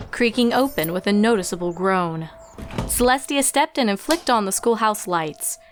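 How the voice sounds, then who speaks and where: A woman reading a story aloud as narrator, in scripted storytelling style. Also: mid-range voice. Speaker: fan fiction reader and narrator.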